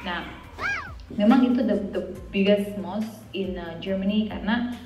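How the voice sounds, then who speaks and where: Wanita usia dua puluhan dari Indonesia dengan aksen Indonesia, mengobrol santai sebagai narasumber dan sering menyelipkan bahasa Inggris. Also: female voice, medium pitch, moderate pace. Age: 20s. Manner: chatty, mixes English into Indonesian. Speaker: interview guest, student living abroad. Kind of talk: casual conversational interview. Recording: roomy echo, music underneath. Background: background music.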